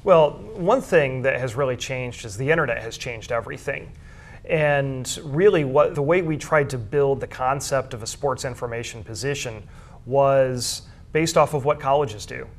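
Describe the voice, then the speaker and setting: A man with a medium-pitched voice speaks as an interview guest. He is a school sports information director, explaining things in a measured, conversational way.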